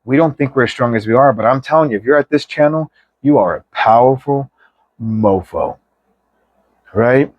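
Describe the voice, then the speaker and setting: A man with a mid-pitched voice gives a chatty tarot reading, recorded dry and close. He talks straight to viewers like an emphatic pep talk, loose and slangy, with the odd mild swear. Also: moderate pace, no echo, lo-fi sound. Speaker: tarot reader.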